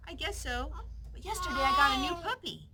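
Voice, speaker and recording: A woman's voice: high voice, sing-song, fairly quick, gravelly, heavy background noise, pitched above the performer's own voice, exaggerated voice. A woman voicing an eager, cheeky young boy in a children's storybook, with a pestering, childlike manner. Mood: upbeat.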